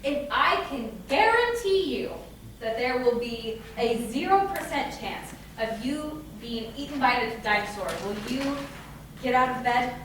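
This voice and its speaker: A woman's voice: a little high, animated, measured pace, a bit bright. An actress playing a patient, caring young woman in a staged play reading, coaxing and reassuring with a wry touch. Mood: exasperated, coaxing. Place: live staged reading in a reverberant room. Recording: echoey room from far off, noisy.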